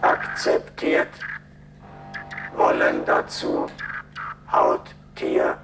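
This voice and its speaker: A man voicing a terse, commanding alien chief in halting broken phrases in a science-fiction radio play. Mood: cold, demanding. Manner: halting broken telegram speech. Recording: echoey, distant, over loud music.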